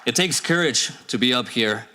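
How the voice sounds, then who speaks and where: A man moderating a panel, introducing speakers and asking questions in a brisk, plain way. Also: medium pitch, expressive, a loud crowd. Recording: noisy clicks in the background.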